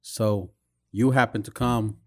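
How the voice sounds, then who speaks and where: Man, podcast host, slightly low voice, casual chat, encouraging, dry recording. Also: fairly even intonation, takes it slowly, a little mellow, dry recording. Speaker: podcast host and tattoo studio owner.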